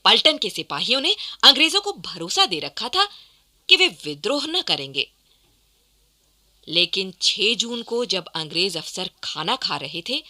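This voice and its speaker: A woman's voice: mid-range voice, sing-song, measured pace, pushed and bright. A woman narrating a scripted historical radio play in a dramatic storytelling style.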